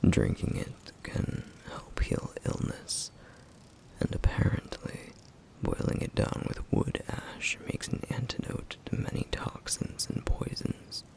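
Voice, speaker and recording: A man voicing a stern yet caring flame demon in an ASMR roleplay, speaking in a hushed, gravelly whisper with measured, formal phrasing. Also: lightly acted, poor audio. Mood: calm, instructive. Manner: measured, formal, slightly old-fashioned phrasing.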